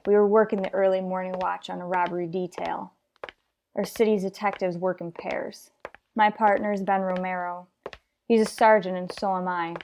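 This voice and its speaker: Woman acting a terse, deadpan female police detective. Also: on the low side, normal speed, a little hiss or hum, natural voice. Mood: businesslike. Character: tough, businesslike female police detective sergeant. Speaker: narrator and lead actor. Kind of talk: old-time radio detective drama narration, clipped and matter-of-fact.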